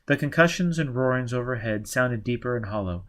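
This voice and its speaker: A man's voice: medium pitch, lively intonation, fairly quick, fairly bright tone. Man narrating an adventure novel. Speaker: audiobook reader. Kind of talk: dramatic scripted narration of a novel.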